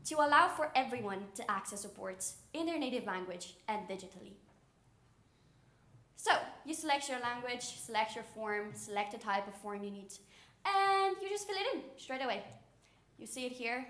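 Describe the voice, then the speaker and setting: Young woman, native Romanian speaker, fairly high voice, live pitch presentation, storytelling manner, echoey event room. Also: a bit bright. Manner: personal storytelling with small chuckles. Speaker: startup founder pitching. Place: indoor event room with an audience. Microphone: event microphone.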